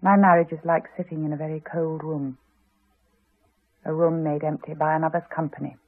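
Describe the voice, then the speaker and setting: An actress playing a reserved, candid middle-aged Englishwoman, polite and measured, in a 1980s radio drama. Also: sits low, level tone, no echo. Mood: candid, tense. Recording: slightly dull, dry old radio recording.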